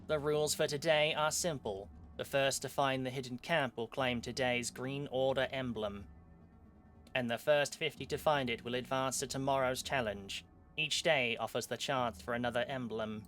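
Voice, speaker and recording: A man voicing a loud, serious tournament announcer in a visual novel, speaking slowly and deliberately. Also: fairly even intonation, faint music behind, close to an everyday voice. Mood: commanding. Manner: booming, slow and deliberate.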